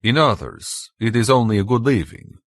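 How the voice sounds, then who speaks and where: A man's voice: medium pitch, animated, normal speed, dry recording, studio quality, pitched above the performer's own voice, natural voice. A man voicing a stern, earnest man who lectures his companions in a formal, emphatic way for a story. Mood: earnest lecturing.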